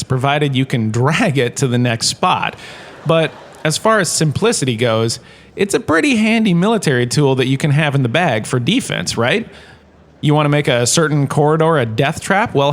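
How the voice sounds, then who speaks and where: A man's voice: medium pitch, natural voice. A man playing a washed-up showbiz star turned university lecturer, giving a chatty, jokey scripted lecture in a comedy audio drama. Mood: wry, explanatory, then salesman-like enthusiasm. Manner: chatty, jokey, showman-like salesman patter.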